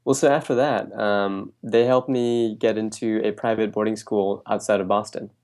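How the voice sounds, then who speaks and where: A young man raised in New Jersey, a guest answering questions in a relaxed way, with frequent fillers and restarts. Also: on the low side, moderate pace. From Bangladesh, raised in New Jersey. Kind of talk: casual interview.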